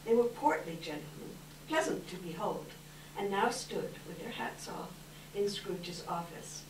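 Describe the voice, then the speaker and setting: A woman's voice: mid-range voice, lively intonation, measured pace. A woman reading scripted story narration aloud as part of a readers theater. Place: live indoor readers theater event. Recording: distant mic, echoey room, hiss.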